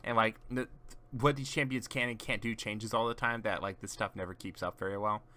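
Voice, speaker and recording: A man's voice: fairly low pitch, steady tone, brisk, pushed and bright. A man casually chatting on a gaming stream, giving rambling, teasing explanations to a friend as he shows off game characters.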